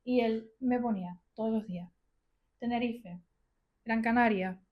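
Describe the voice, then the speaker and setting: A woman's voice: on the high side, a little slow, dull audio. A woman in her thirties from Venezuela with a Venezuelan accent, telling stories casually as a podcast guest. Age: 30s. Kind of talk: casual conversational storytelling.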